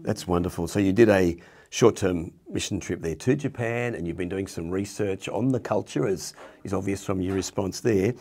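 A man's voice: low-pitched, normal speed, a little mellow. A man in his sixties or older, interviewing guests conversationally on stage through a headset mic. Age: 60s or older. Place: indoor stage with curtain backdrop. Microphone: headset microphone.

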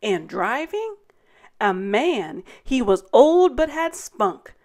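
A woman hosting story time, reading a rhyming children's picture book aloud in a dramatic, deliberate way. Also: on the high side, sing-song. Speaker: story time host and narrator.

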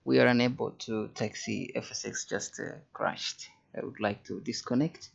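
A man giving halting, think-aloud flight simulator commentary and pilot radio calls. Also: mid-range voice, steady tone, creaky, husky, lo-fi sound. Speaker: host, virtual pilot.

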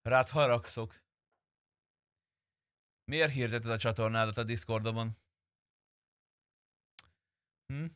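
A man with a bright, forceful voice, recorded close and dry. He chats casually over his gameplay as a streamer would, blunt and free with swearing. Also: mid-range voice, fairly even intonation, smooth, no room sound. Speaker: game streamer. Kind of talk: casual gameplay chat and roleplay commentary.

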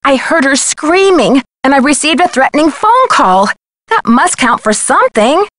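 A woman's voice: high-pitched, sing-song, moderate pace, a bit bright, no room sound. A woman playing an earnest, polite and persistent young amateur detective in a video game. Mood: worried, insistent. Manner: polite, earnest, clearly spoken.

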